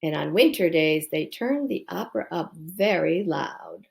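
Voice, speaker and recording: A woman's voice: on the low side, animated, measured pace, gravelly, darkish tone. A woman reading a children's bedtime story aloud. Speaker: storybook reader.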